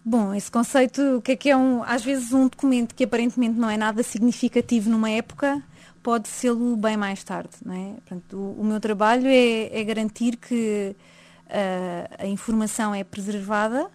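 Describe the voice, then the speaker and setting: A woman with a European Portuguese accent, a document management specialist, answering a radio interview thoughtfully, with pauses, fillers and tag questions. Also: on the high side, fairly even intonation, a little mellow.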